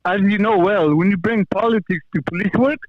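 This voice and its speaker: High-voiced Afghan-accented man on a dull call. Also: a little fast, dry recording. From Kabul, Afghanistan. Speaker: call-in guest, former police officer. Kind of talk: personal account in conversation.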